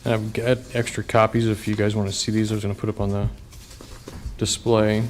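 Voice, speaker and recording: A man's voice: on the low side, level tone, fairly quick. A man testifying as a union business manager at a county board meeting, speaking plainly with some hesitation. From Nebraska. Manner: plain, a little hesitant, with fillers. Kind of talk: public testimony. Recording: public meeting broadcast, faint background noise.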